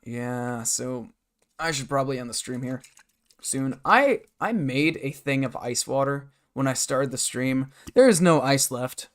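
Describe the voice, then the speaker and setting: A hoarse man live-streaming a game from his bedroom desk, rambling and muttering to himself and his chat. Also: medium pitch, a little slow. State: hoarse, scratchy throat.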